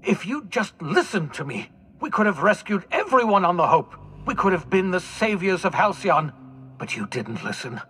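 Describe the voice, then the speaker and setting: An older man acting as a bitter, defiant old mad scientist in a video game, with a husky voice and a theatrical, accusatory delivery. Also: high-pitched, very animated, normal speed, a bit bright, slight background noise. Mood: bitter, disappointed.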